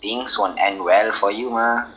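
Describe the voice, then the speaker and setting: A man's voice: on the low side, steady tone, noisy background, close to an everyday voice. A man playing a gloating, taunting underworld loan shark in an amateur radio drama, drawing out his words. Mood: menacing. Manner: slow, drawn-out, taunting delivery with chuckles.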